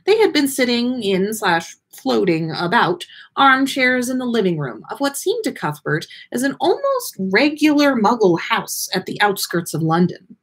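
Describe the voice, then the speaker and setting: Female podcast host, expressive story reader with playful, casual asides and lively pitch swings. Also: normal speed, hardly any pauses, breathy edge. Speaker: podcast host reading listener stories on a livestream. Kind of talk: animated story reading with casual chat.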